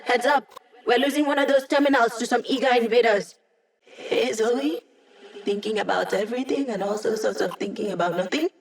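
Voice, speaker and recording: A woman's voice: a little high, fairly quick, close to an everyday voice, rough recording. An actress playing a wry young female hacker who supports the team with dry, sarcastic quips in game voice lines. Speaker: video game character.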